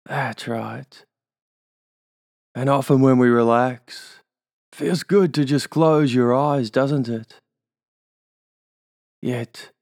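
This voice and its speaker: A man's voice: medium pitch, creaky, a little mellow, no room sound, clean studio sound. A man with an Australian accent leading a guided hypnosis induction, giving soothing suggestions and tag questions.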